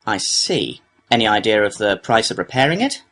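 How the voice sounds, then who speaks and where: Male complaints clerk, played by a man, courteous, helpful, husky edge, very varied intonation, procedural scripted delivery. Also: fairly low pitch, big pitch swings, moderate pace, fairly bright tone, exaggerated voice. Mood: courteous, businesslike. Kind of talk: scripted listening-test dialogue.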